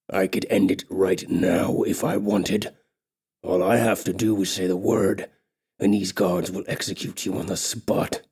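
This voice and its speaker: A man voicing a huge male orc king who speaks slowly in a raw, hoarse, stern voice. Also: deep voice, a little mellow, heavily acted. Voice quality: raw, hoarse, slow and menacing.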